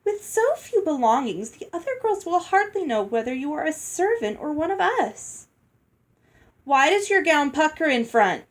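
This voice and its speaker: A woman's voice: high voice, big pitch swings, moderate pace, voice pushed up. A woman voicing a haughty, greedy, bossy girl from a storybook, condescending and falsely sweet. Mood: snobbish, prying.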